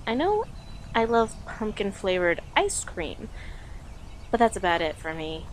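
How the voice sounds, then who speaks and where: Playful, unhurried girlfriend acted by a woman, ambient noise behind. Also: mid-range voice, big pitch swings. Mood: chatty, amused. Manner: teasing, giggly, conversational with pauses. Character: playful, affectionate young girlfriend on an autumn date. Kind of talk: intimate audio roleplay, one-sided conversation.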